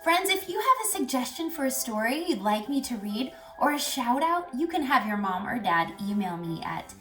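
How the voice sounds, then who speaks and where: A woman reading a picture book aloud for children, animated, pausing to ask questions and dropping to hushed tones. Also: on the high side. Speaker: read-aloud storyteller for children. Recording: roomy echo, distant mic, music behind.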